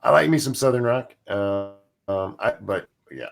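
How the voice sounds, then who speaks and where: A man chatting casually in a blunt, deadpan way. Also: lowish voice, moderate pace, gravelly. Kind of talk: casual chat.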